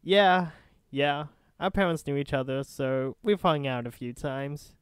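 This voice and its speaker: A man's voice: fairly high pitch, a little slow, smooth, no echo, studio quality, lightly acted. A man playing a nosy, easygoing young friend in an ASMR roleplay, casual and teasing.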